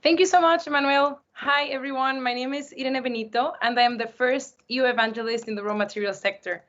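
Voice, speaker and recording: A woman's voice: high voice, fairly even intonation, quick pace, fairly bright tone. A woman giving an eager, enthusiastic prepared introduction over a video call. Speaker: sector evangelist. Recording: dull lo-fi sound.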